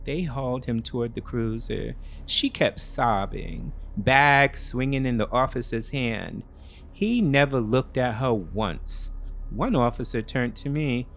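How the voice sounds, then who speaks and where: A man giving dramatic, scripted first-person thriller narration in deliberate, clipped phrases. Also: medium pitch, animated, fairly bright tone. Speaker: storyteller.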